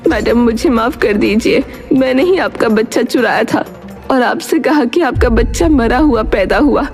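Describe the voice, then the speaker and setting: A woman's voice: on the high side, moderate pace, a little mellow, lightly acted. A woman voicing an elderly, guilt-ridden nurse in an earnest, confessional story drama, with music behind. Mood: remorseful.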